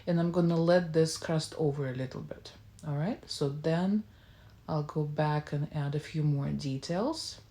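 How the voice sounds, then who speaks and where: Female tutorial host, relaxed and warm in tone, slightly low register, casual step-by-step narration. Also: a little slow. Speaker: baking channel host.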